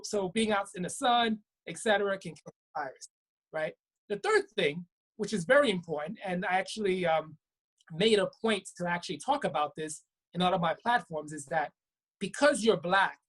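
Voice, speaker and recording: A woman's voice: fairly low pitch, slightly rough, poor audio. A woman physician from Brooklyn, New York, answering interview questions in an earnest, explanatory way with frequent restarts. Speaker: physician guest. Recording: web talk show.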